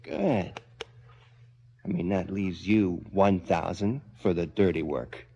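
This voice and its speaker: An actor playing a greedy, domineering crime boss in a 1970s radio drama, blunt and insinuating. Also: male voice, sits low, slow pace, mellow, husky, highs cut off, heavily acted. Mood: menacing. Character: bullying, greedy, corrupt male crime boss.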